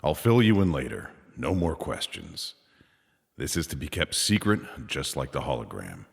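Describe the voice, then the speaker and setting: Very deep, gravelly commander. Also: male voice, sing-song, normal speed, exaggerated voice. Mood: stern, commanding. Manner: clipped, authoritative. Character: curt, menacing male sci-fi commander. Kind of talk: audio drama acting. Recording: long reverberant hall echo.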